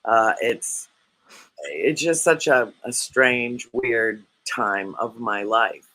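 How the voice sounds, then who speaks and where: A man's voice: mid-range voice, extremely slow, sharp tone, dry recording, poor audio. A man co-hosting a reflective spiritual discussion, speaking hesitantly with frequent restarts. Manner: hesitant, with many restarts and fillers. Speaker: co-host.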